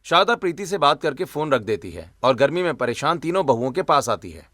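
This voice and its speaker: Higher-pitched man narrating a cartoon story. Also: sing-song, a little fast, fairly bright tone, no room sound, studio quality.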